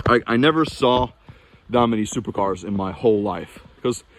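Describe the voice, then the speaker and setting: Low-voiced young man chatting casually. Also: normal speed. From Norway. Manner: chatty and rambling, with frequent restarts and fillers. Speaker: vlogger. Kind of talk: casual talking-to-camera vlog.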